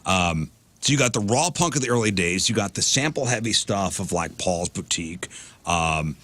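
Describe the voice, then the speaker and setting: Low-voiced radio host asking long questions. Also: male voice, expressive, normal speed, pushed and bright. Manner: wordy, builds long questions with restarts. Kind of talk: studio interview questions.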